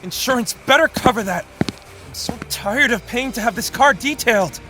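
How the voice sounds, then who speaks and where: A man's voice: extremely high pitch, lively intonation, normal speed, over music. A man playing an exasperated, self-important teenage class president in an audio drama, indignant and incredulous. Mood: irritated, wry.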